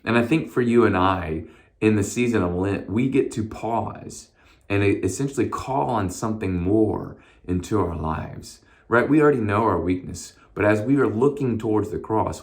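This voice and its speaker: A man with a hoarse voice giving a short, casual devotional message as a pastor, with many fillers and restarts. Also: low-pitched.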